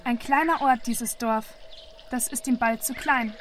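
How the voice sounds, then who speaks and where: A woman's voice: fairly high pitch, unhurried, background noise, close to an everyday voice. A woman playing a matter-of-fact speaker in an experimental radio play. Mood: informative. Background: music.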